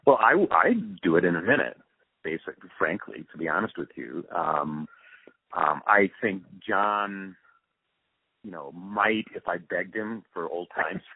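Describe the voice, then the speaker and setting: A man's voice: sits low, lively intonation, unhurried, slightly rough, dry recording. An older-sounding man reminiscing and explaining his craft in a casual, conversational interview over the phone. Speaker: interview guest, radio drama producer. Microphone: phone call. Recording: tinny narrowband phone line.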